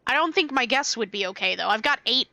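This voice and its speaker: Bright, playful woman streamer. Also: on the high side, lively intonation, quick pace, vocal fry, no echo. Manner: playful, chatty, giggly. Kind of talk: casual live-stream chat while playing.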